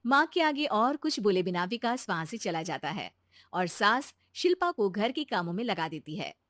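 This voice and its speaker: A woman storyteller reading scripted narration for an animated moral story. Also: mid-range voice, normal speed, no echo, clean studio sound.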